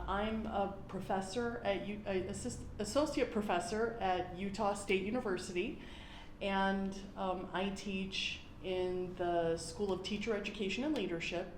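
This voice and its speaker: A woman in her forties with an American accent, speaking conversationally as an academic guest in an interview. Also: medium pitch. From United States. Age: 40s. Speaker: podcast guest, university professor. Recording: roomy echo, faint background noise.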